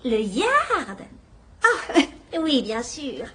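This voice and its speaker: An actress playing a shrewd, gentle elderly spinster detective in a dubbed drama, speaking in a wry, quietly probing way. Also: female voice, high voice, huge pitch swings, drawn-out words, heavy background noise, exaggerated voice. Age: older. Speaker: amateur detective. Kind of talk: dubbed radio-style drama acting.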